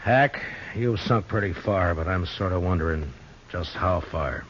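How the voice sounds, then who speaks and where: Frontier lawman, very deep voice, American, measured and grave, 1950s radio western, dull recording. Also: a little hiss or hum. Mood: grim, defiant. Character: steady, grim frontier marshal narrating his own story. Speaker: lead actor and narrator. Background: music.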